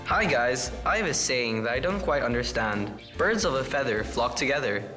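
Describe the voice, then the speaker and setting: Lively young male co-host. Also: mid-range voice, normal speed, music in the background. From UK and Philippines.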